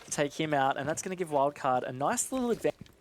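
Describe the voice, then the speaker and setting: A man's voice: on the high side, big pitch swings, quick pace, slight background noise. A man giving live analytical commentary on an esports match in a conversational, explanatory way.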